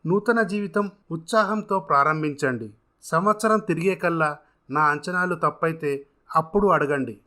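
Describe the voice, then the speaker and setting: Man acting an earnest, bright-voiced, generous contractor. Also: on the high side, moderate pace. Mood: encouraging. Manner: earnest, formal, exhorting. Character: earnest, generous self-made contractor encouraging a troubled woman.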